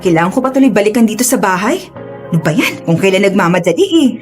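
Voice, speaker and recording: A woman's voice: mid-range voice, lively intonation, quick pace, a little mellow, faint music behind, close to an everyday voice. An actress playing a protective, caring older sister, a married working woman, in a radio drama. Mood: annoyed.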